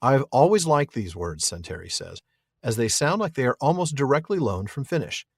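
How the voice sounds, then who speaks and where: Husky-voiced man co-hosting a podcast. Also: medium pitch, very animated, moderate pace, no echo, clean studio sound. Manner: chuckling, easygoing, reasoning aloud. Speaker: podcast co-host. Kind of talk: casual chat reading listener mail.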